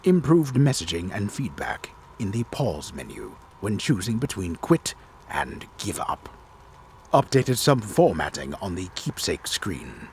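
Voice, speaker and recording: Man performing a very deep, theatrical storyteller. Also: a little hiss or hum. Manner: solemn, theatrical, deliberate announcing. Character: disembodied male storyteller, grave and theatrical. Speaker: game storyteller and narrator. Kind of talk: dramatic reading of game patch notes.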